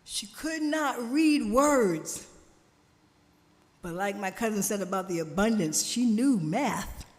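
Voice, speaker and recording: A woman preaching a sermon at a church service, slow and emphatic, drawing responses from the congregation, her family from Jamaica. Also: mid-range voice, animated. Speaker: guest speaker at a church service. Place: church sanctuary. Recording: church hall reverb.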